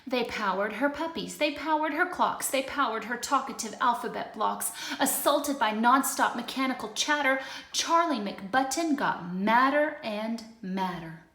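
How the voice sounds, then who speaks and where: A woman teacher reading a rhyming picture book aloud, expressive, moving between loud lines and hushed ones. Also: a little high, a little slow. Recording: roomy echo.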